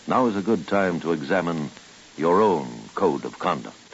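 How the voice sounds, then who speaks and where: Announcer role: deep gravelly American man, solemn patriotic read, muffled old radio sound. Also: lively intonation, faint music behind. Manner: solemn, dramatic, deliberate phrasing. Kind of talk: scripted patriotic narration. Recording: dull, hissy old radio recording.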